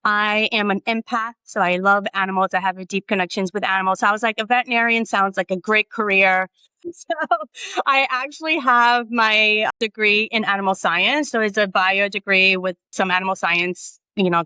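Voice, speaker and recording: A woman's voice: mid-range voice, steady tone, normal speed, bright, forceful tone, clean tone, no room sound, poor audio. A woman from Brazil, a guest in an interview, speaking earnestly and reflectively, often adding "right?" and "you know.". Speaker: guest, nonprofit founder. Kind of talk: conversational interview.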